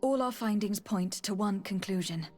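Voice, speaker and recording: An actress playing a composed young noblewoman diplomat in a video game, speaking in a measured, formal, earnest way. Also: mid-range voice, darkish tone, soft background music, natural voice. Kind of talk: video game cutscene acting.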